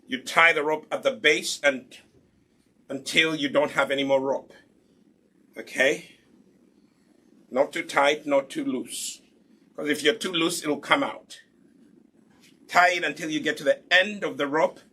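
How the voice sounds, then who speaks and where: A man's voice: on the high side, steady tone, unhurried, long pauses, bright, forceful tone, husky. A man from Uganda, patiently demonstrating hair care in a live online lesson and answering his own questions as he goes. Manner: patient and instructive, asks rhetorical questions then answers them. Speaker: hair care instructor and product maker.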